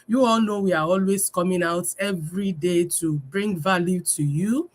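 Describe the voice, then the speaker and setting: A woman's voice: on the low side, normal speed. A woman from Nigeria, hosting a live business talk stream over a video call and speaking with frequent fillers. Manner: warm greetings, many fillers, laughs often. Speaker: host.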